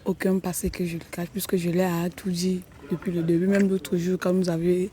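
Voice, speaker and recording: A woman answering as an interview guest, insistent and repeating herself, recorded quietly with some noise behind her. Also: on the low side, level tone, brisk.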